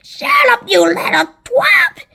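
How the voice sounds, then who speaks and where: A woman voicing a spiteful, excitable aunt from a children's story, yelling in snappish, raspy exclamations. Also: takes it slowly, exaggerated voice. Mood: snapping angrily. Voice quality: shrill, raspy.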